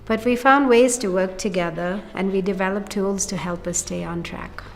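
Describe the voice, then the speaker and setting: Mid-pitched woman explaining over music. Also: normal speed. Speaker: project team member. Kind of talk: explanatory documentary interview narration. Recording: music bed under speech.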